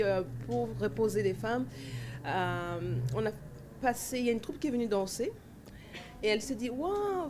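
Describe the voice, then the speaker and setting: A woman in her forties from Cameroon, answering interview questions at length with many fillers and restarts. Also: on the high side. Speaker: dancer and festival organizer. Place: outdoor festival grounds. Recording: festival ambience noise behind.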